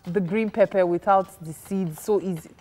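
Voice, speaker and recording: A woman hosting a breakfast TV show, chatty and quick to be impressed. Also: mid-range voice, warm tone. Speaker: morning TV show host. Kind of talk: breakfast TV studio chat.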